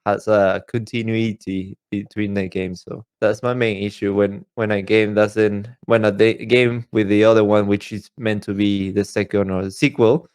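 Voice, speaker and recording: Male podcast co-host, lowish voice, dry recording, casual delivery. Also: level tone, moderate pace, few pauses, darkish tone, smooth, no room sound. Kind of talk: casual chat about games.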